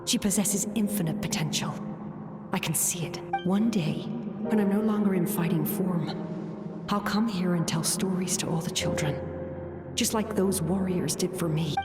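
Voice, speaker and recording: Woman doing fond veteran warrior lady from a game, lowish voice, loud music over it. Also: normal speed, lightly acted. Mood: fond, nostalgic. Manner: reflective, affectionate storytelling. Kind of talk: dramatic video game voice acting. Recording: echoey reverb under loud music.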